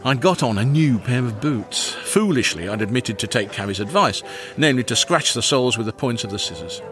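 Man acting a pompous, animated English Victorian diarist. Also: medium pitch, a little fast, over music, natural voice. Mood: earnest, self-important. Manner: pompous, precise, earnest. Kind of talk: acted comic diary narration.

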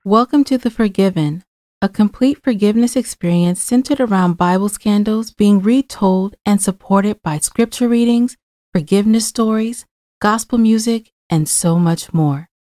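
A woman's voice: medium pitch, moderate pace, warm tone, no room sound. A woman reading scripted promo narration as a podcast host and announcer.

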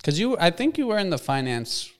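A man's voice: high voice, very animated, fairly quick. A man co-hosting a casual podcast, joking and asking the guest questions. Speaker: podcast co-host, realtor.

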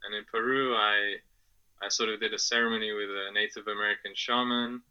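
Bright-toned young man, hesitant guest. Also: fairly low pitch, flat delivery, brisk, smooth, lo-fi sound. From Afghanistan, grew up in England. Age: 20s. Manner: hesitant, many fillers and restarts, laughs often. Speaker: podcast guest. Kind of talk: casual interview answers.

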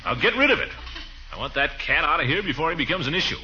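Brief: exasperated, self-important uncle for 1940s radio comedy, very lively pitch, dull old recording. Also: male voice, quick pace, slight background noise, full character voice. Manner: pompous, blustery. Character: fussy, exasperated middle-aged uncle, blustery and pompous.

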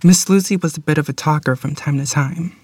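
A woman's voice: deep voice, fairly quick, mellow, no echo. A woman playing a forward, plainspoken small-town club musician in a period table read. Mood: blunt.

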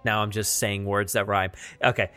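A man hosting a podcast solo in a casual, rambling and halting way, self-effacing and full of appreciation and enthusiasm. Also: on the low side, fairly even intonation, sharp tone, clean tone, soft background music. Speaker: podcast host.

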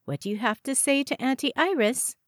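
A woman voicing a patient, placating young mother in an audiobook, with a gentle, reasoning manner. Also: sits high, animated, a little fast, no echo, clean studio sound, pitched above the performer's own voice. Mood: coaxing.

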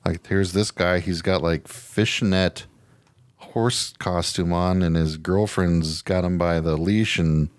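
A man's voice: low voice, steady tone, takes it slowly, dry recording. A man co-hosting a podcast, chatting casually in loose, slangy, colloquial speech. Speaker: podcast co-host. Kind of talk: casual chat.

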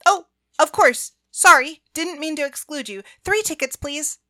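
A woman voicing the polite, eager heroine of an audiobook story in animated dialogue. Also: a little high, moderate pace. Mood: eager, apologetic. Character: story's polite young heroine, keen and explaining.

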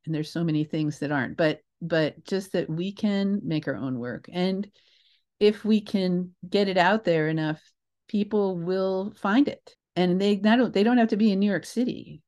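An older woman with a slightly low-set voice speaks as a guest in a relaxed interview. She tells stories with animated emphasis, breaking off mid-thought and wandering into side remarks. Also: moderate pace. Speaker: interview guest, theatre creator. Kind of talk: casual interview storytelling.

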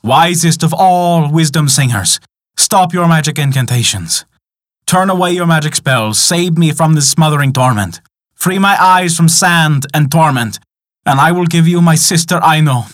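A man voicing a desperate young man who is stuck in a swamp, his pleas pitched higher and louder than his narration. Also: normal speed, dry recording, studio quality, natural voice. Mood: pleading.

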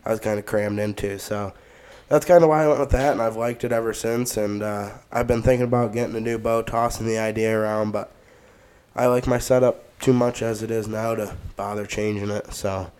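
A lower-pitched man with a smooth, clear voice, a little stuffed up and sniffly. He co-hosts a podcast and rambles through his gear casually, with plenty of fillers. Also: a little fast. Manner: relaxed, rambling, many fillers. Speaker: podcast co-host.

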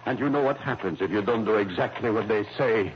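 An actor playing an agitated, tough-talking father in early 1960s radio drama, rough and emphatic in his speech. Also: lowish voice, a little fast, lightly acted. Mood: bitter, insistent. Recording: dull, noisy old radio recording.

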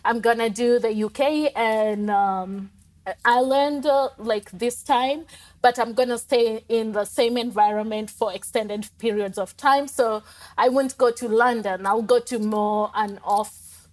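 Kenyan woman, casual guest on a travel podcast, fairly high pitch, talks in loose, laughing bursts. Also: fairly even intonation, unhurried. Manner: chatty, laughs often, many fillers and restarts. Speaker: podcast guest, digital nomad. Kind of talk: casual interview chat.

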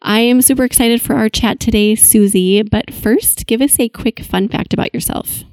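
A woman's voice: fairly high pitch, normal speed, darkish tone, no room sound. A woman hosting an interview podcast, introducing her guest with enthusiasm. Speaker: podcast host.

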